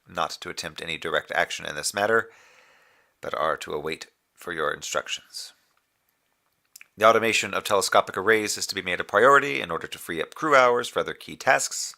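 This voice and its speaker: An actor playing a dutiful, dryly sardonic moon base communications officer, reporting in a formal, measured way in a scripted audio drama. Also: male voice, deep voice, sharp tone, exaggerated voice.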